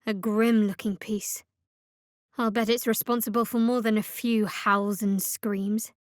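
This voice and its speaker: A woman performs a young female cleric of a dark goddess for a fantasy video game. She is wry and secretive, speaking in a measured, guarded way. The sound is dry and close, with no room around it. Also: mid-range voice, little pitch movement, no echo, lightly acted.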